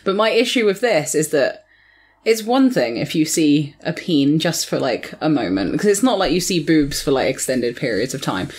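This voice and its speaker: A woman with a distinctly low-pitched voice talks casually as a guest reviewer. Her manner is blunt and informal, in loose conversational phrasing. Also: sing-song, moderate pace, a little mellow, husky. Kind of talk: casual chat.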